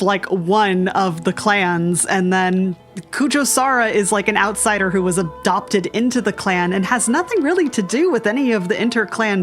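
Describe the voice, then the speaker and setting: A woman streaming a game with casual, sarcastic, exclamatory commentary in a chatty way. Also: medium pitch. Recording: faint game music behind.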